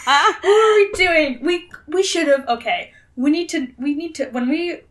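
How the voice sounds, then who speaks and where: A young adult woman co-hosting a podcast in casual comedic banter, excitable, giggly and sweary. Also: high voice, unhurried, far from the mic. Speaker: podcast co-host.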